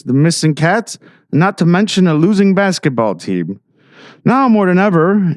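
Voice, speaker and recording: A pompous small-town mayor, acted by a man for an audio drama, talks in a higher male voice with big swings in pitch. He is scheming and eager to please those who can keep him in power, and the sound is dry and close. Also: no echo.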